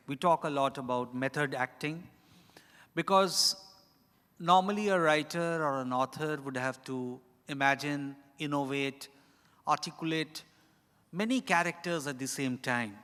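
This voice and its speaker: Higher-pitched male author in echoing hall. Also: fairly bright tone. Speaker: author, guest speaker. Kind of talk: unscripted talk on stage about writing. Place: event hall at a hotel. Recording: echoey hall with long reverb.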